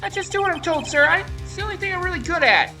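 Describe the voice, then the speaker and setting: Woman as a shrill, overeager rookie policeman. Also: lively intonation, quick pace, music in the background. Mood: eager, nervous. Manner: yelps, ends phrases with a dutiful "sir". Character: jittery, overeager, obedient young male police officer. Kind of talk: exaggerated visual novel character voice.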